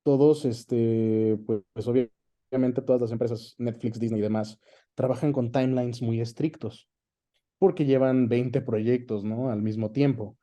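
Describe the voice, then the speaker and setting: A man's voice: medium pitch, fairly even intonation, a little slow, warm tone. A man with a Mexican accent in a conversational interview as the guest, explaining things colloquially with examples and frequent tag questions. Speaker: interview guest, dubbing director.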